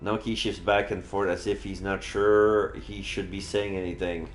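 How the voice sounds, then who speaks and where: Low-voiced male streamer in an echoey room. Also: level tone, normal speed. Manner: casual, reacting as he reads. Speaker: live streamer. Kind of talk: reading a visual novel aloud with commentary.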